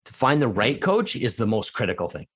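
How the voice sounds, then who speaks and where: A middle-aged man from Canada, a coach being interviewed, talking emphatically with rhetorical questions and swings between excited and quiet reflection. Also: medium pitch, a little fast. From Canada (Edmonton). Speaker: real estate coach, guest. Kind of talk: casual podcast interview.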